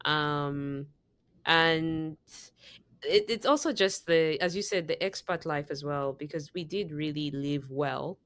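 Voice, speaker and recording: A woman from Zambia tells a long story in a low, deep voice, full of "like" and false starts. The sound is dry and close, with no room around it. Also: slow pace, fairly bright tone, no room sound. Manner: chatty storytelling, many fillers and restarts. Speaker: podcast co-host. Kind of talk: casual podcast conversation.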